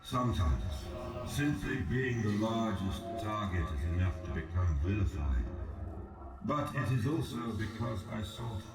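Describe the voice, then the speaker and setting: A man voicing an aloof, imperious ancient god in a video game, speaking in a solemn, formal and deliberate way. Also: on the low side, expressive, vocal fry. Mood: proud, grave. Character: ancient exiled male god-emperor, aloof, measured, imperious. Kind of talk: scripted video game dialogue. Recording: echoing, distant voice over music.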